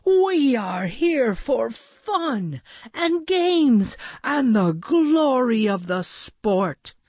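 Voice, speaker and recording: A woman's voice: on the high side, sing-song, very slow, dark tone, slightly rough, no echo, voice pushed up, exaggerated voice. A woman voicing a polite, showy male pond animal who announces grandly in a children's story. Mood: grand welcome.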